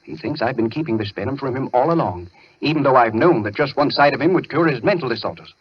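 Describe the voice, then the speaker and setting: An actor playing a grim, resigned captive doctor, speaking earnestly and articulately in an old radio thriller. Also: male voice, medium pitch, expressive, fast, breathy edge. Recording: muffled noisy old radio recording.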